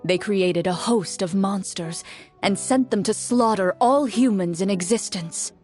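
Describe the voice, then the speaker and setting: An actress playing a composed, cool-headed game master who narrates fantasy in a measured way for a video game. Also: female voice, medium pitch, a little mellow, lightly acted. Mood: solemn, storytelling. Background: music.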